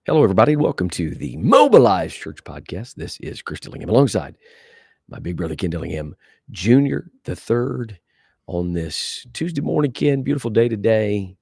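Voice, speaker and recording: A man with a very low, deep voice hosts a podcast with upbeat, friendly chatter. He sounds relaxed and welcoming. The recording is dry and close. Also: normal speed, creaky, husky, no room sound. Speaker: podcast host. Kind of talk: casual podcast chat.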